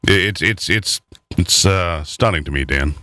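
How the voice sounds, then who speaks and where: A man's voice: low-pitched, a little slow, bright, forceful tone, no room sound. A man hosting a news talk show, speaking indignantly and stumbling over his words.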